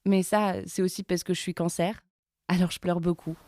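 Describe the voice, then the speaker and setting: A woman's voice: fairly low pitch, little pitch movement, normal speed, mellow, no room sound, clean studio sound, natural voice. An actress playing a proud, flamboyant femme mother in an audio fiction self-portrait, declarative and self-assured. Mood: proud, content.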